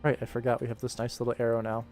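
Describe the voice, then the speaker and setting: A man's voice: mid-range voice, completely flat delivery, very fast, a little mellow. A young adult man chatting casually on a livestream while he works, rambling along in a jokey, self-deprecating way. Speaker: animator streaming his work. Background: background music.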